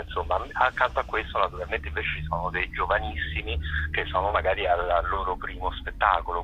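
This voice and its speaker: Man, very deep, husky, bright edge, unscripted interview explanations, halting delivery, drawn-out words. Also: rough recording. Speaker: festival artistic director, interview guest.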